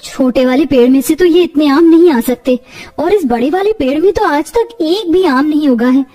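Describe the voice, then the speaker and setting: A woman's voice: sits high, lively intonation, moderate pace, mellow. A woman playing a poor, devoted young mother in a cartoon story, plaintive and emotional. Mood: startled. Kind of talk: dramatised children's cartoon story. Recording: distant-sounding, music underneath.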